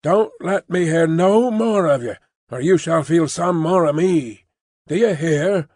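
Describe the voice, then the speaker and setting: Man voicing middle-aged father, working-class, gruff, bad-tempered, blunt ungrammatical scolding, storybook character, close dry sound. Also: on the high side, unhurried, darkish tone, dry recording. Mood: irritated scolding.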